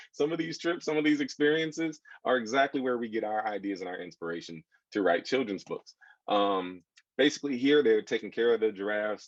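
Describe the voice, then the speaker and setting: An American man with a fairly low voice speaks in a roomy, slightly dull recording. He is presenting as a children's book author, informally and conversationally. He addresses his listeners directly and puts questions to them. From United States. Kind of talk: informal audience presentation with slides. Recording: dull, echoey room sound.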